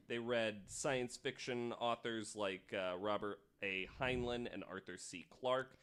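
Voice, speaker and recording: A man's voice: on the low side, a bit bright, slight background noise. A man hosting a podcast, telling stories from notes in an informal, casual way. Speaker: podcast host.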